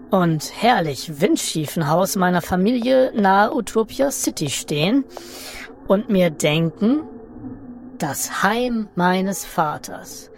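A woman voicing a genial, drily ironic Martian son from Utopia in a satirical radio play, speaking deliberately and theatrically. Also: mid-range voice. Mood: hopeful, eager. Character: genial, wry, bored Martian alien, prodigal son from Utopia.

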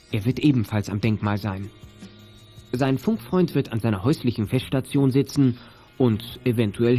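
A man's voice: mid-range voice, mellow, lightly acted. A man narrating a children's detective audio drama in a scripted, dramatic storytelling style.

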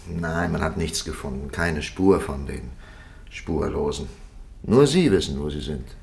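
Deep-voiced, expressive interrogator. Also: male voice, gravelly, slight background noise, full character voice. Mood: weary pleading. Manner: formal, measured, insistent, rhetorical. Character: stern, polished male interrogator pressing a silent prisoner. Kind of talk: 1950s German radio play acting.

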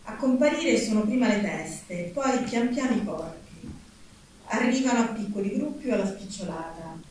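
Female reader of literary prose, medium pitch, heavily reverberant room with faint noise. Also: expressive, moderate pace, a little mellow. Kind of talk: reading literary prose aloud. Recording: echoey noisy hall, distant mic.

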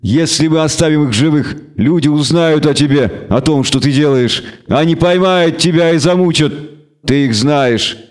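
A proud elf lord from a fantasy audiobook, played by a man. His voice is raised in pitch, cold and grave, with deliberate, solemn phrasing. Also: close to an everyday voice.

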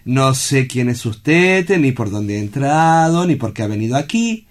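A man acting a calm, authoritative doctor in a radio drama, formal and reassuring in manner. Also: medium pitch, a little slow. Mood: soothing, firm. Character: calm, authoritative male doctor directing a strange institution.

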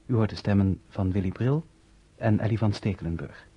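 A man's voice: lowish voice, fairly quick, husky. A man reading scripted cast credits as a radio drama announcer. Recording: dull old radio recording.

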